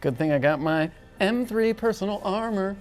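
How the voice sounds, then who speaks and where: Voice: mid-range voice, lively intonation, moderate pace, darkish tone, soft background music. Playful, jokey tabletop gamer. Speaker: player in a tabletop role-playing game. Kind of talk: casual, joking game table chat.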